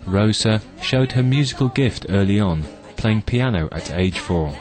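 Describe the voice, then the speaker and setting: Deep-voiced guy narrating a promo documentary, reading a script, music playing behind him. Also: expressive, a little slow, darkish tone.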